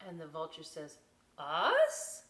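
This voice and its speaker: A woman reading a picture book aloud as a story time host, expressive, with chatty questioning asides to young listeners. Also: medium pitch, slow, far from the mic. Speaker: library story time reader. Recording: echoey room, away from the mic.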